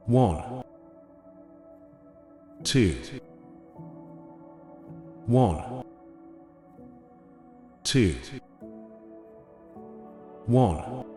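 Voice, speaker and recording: A man narrating a scripted guided meditation in a gentle, unhurried manner, with short phrases spaced apart. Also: medium pitch. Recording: echoey long reverb over ambient music. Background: soft ambient music.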